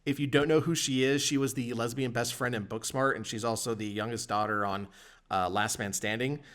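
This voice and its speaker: A man's voice: medium pitch, steady tone, fairly quick, a bit bright. Male podcast host, mid-range voice, casual news-reading and chat.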